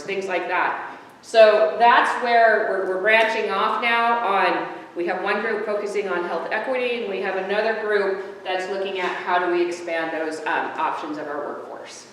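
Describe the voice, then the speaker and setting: A woman's voice: medium pitch, normal speed, fairly bright tone, slight background noise. A woman giving a panel presentation from slides, explaining in a conversational way with frequent fillers. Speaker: hospital panelist. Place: large echoey lodge hall. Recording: distant, echoey hall recording.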